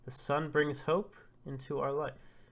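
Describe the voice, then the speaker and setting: A man's voice: on the high side, flat delivery, unhurried, gravelly. Solemn old male elder, formal and foreboding, storybook prophecy-teller, read by a man. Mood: ominous. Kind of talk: storybook character reading.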